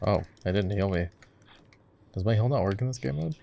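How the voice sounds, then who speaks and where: A man giving relaxed, casual gaming commentary in an ASMR roleplay, speaking off the cuff as he plays. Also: on the low side, brisk, gravelly. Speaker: gamer, voice actor playing a gamer boyfriend. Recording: faint keyboard clicking and typing noise. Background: keyboard typing and clicks.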